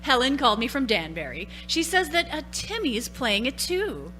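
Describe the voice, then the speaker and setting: A woman's voice: high-pitched, very animated, moderate pace, pushed and bright, faint music behind, full character voice. A woman playing a polite, concerned housewife and mother in a radio play, motherly and a little hesitant. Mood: gently curious.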